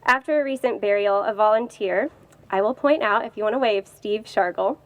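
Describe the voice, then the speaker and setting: A woman's voice: medium pitch, brisk, no room sound, narrow, tinny audio. A woman giving an informal welcome speech outdoors to a gathering. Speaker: cemetery staff member giving welcome speech.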